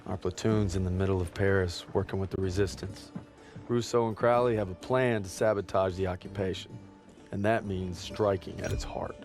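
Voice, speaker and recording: A young American infantryman for a war game, steady and loyal. His low voice is thoughtful and sincere, with music clearly behind it. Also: moderate pace. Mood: grave. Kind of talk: cinematic war game dialogue and narration.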